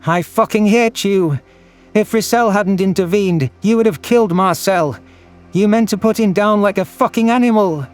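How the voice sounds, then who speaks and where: A man's voice: high voice, moderate pace, soft background music, pitched above the performer's own voice, natural voice. A man voicing a furious, bitter alien prey creature in an audio drama, accusatory and screeching. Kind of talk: audio drama character acting.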